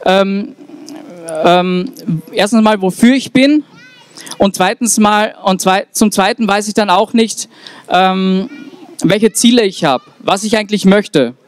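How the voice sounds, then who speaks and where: A young man giving an earnest, halting speech into a handheld mic on an outdoor town square. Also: high-pitched, clean tone. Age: young adult. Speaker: speaker at a rally. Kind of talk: public speech.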